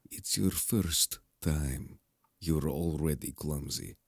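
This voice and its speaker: Man playing a gravelly, very deep deadpan merman. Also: slow, no room sound, studio quality, exaggerated voice. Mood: dry, calm. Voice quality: gravelly, husky. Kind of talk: dramatic audio drama acting.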